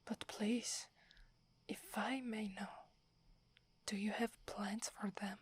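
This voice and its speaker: A woman with an Italian accent playing a submissive, earnest female werewolf in a soft, breathy, hesitant ASMR whisper. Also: mid-range voice, fairly even intonation. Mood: respectful, tentative. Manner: hesitant, respectful, measured.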